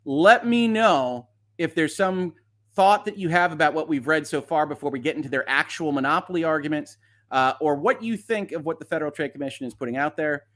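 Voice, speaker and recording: A man's voice: a little high, fairly quick, a bit bright. A man hosting a live stream, explaining and commenting on legal matters in a conversational, frank and opinionated way. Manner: conversational, explanatory, often checks with a tag "right?". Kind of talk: live commentary, answering viewer questions and explaining antitrust law.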